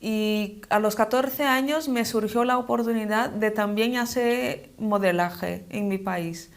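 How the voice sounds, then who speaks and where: A young woman speaks Spanish as a second language, with a non-native accent. Her delivery is slow and measured, with some hesitation and repetition, as she answers in a conversational interview. Her pitch is average for a woman. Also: vocal fry. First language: not Spanish, speaks it as a second language. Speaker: interview guest, model and actress.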